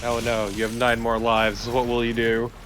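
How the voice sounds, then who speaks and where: A man's voice: mid-range voice, monotone, moderate pace. A man co-commentating on a video game in casual, wry, joking banter. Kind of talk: casual banter over gameplay. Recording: clear background noise, game ambience.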